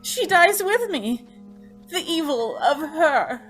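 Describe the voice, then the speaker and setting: A woman's voice: sits high, sing-song, slow, a bit bright, faint music behind, heavily acted, low-quality recording. A woman playing an anguished young condemned woman haunted by an inner voice, pleading and intense, in old-time radio drama style. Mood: fearful resolve. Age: young adult.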